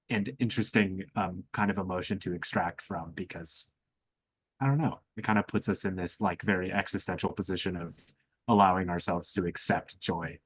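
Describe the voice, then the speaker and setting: A young man with a low, slightly rough voice, talking through his thoughts in a relaxed student podcast. He hedges often with "like" as he reflects, and the sound is close and dry. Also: dry recording. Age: young adult. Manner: thoughtful, hedging with frequent "like". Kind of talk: casual reflective discussion.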